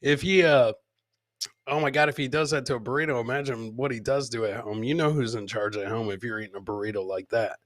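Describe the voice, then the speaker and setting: A male podcast host with an average-pitched voice chats off the cuff in a loose, joking way. He banters with viewers in salty, informal language, and the sound is dry with very little room. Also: steady tone, a little fast, fairly bright tone, no room sound. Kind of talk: casual comedic livestream chat.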